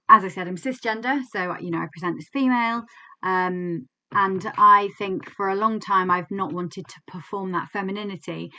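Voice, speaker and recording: Thoughtful English woman with a bright voice. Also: fairly low pitch. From England. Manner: thoughtful, candid, many fillers and restarts. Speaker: clinical psychologist. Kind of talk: reflective teaching talk to camera.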